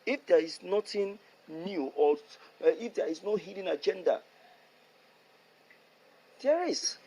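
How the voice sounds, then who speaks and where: A man's voice: sits high, expressive, measured pace, mellow, husky, rough recording. A man giving opinionated news commentary to his listeners, emphatic, repeating phrases and posing rhetorical questions.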